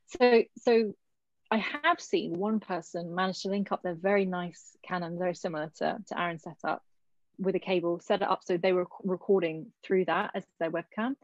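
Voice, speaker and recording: Casting director, lowish woman's voice, thinks out loud, dry muffled call audio. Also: normal speed, dry recording. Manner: thoughtful, chatty, thinks aloud. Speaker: casting director leading an actors' workshop. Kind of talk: workshop Q&A advice. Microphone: video call. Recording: dull lo-fi video call audio.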